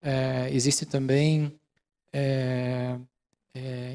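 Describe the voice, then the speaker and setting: A middle-aged Brazilian man giving a calm, explanatory lecture in a large auditorium, speaking into a handheld microphone and often checking in with the audience. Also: fairly high pitch. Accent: Brazilian Portuguese. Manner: calm, explanatory, many fillers like "né". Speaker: mindfulness lecturer. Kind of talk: relaxed lecture with audience questions.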